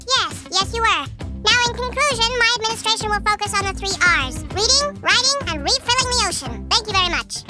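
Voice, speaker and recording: An actress voicing an earnest, formal young woman president in an animated sitcom, speaking in a speech-making manner. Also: very high-pitched, normal speed. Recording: cartoon clip with loud music.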